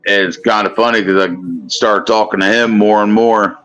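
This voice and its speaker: Man in his thirties, Kentucky accent, very low and forceful voice; rambling casual guest who keeps saying "you know what I mean.". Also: little pitch movement, normal speed, soft background music, low-quality recording. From Indiana, US. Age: 30s. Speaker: guest on a live stream. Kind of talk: casual chat.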